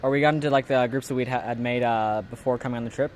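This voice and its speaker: Tentative young man, mid pitch, outdoors. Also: steady tone. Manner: halting, tentative. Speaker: student interviewee. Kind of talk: casual chat. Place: outdoors in a city.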